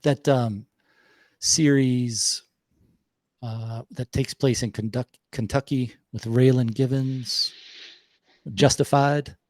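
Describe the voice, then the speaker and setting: A man with a Southern US accent hosting a podcast, talking reflectively and unhurriedly, with pauses. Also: medium pitch, steady tone, a little mellow, dry recording. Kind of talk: casual conversation.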